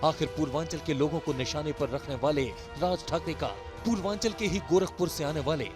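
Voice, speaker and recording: Man, news voice-over, fairly high pitch, dramatic scripted narration, prominent background music. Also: a little fast. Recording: voice-over laid over loud music.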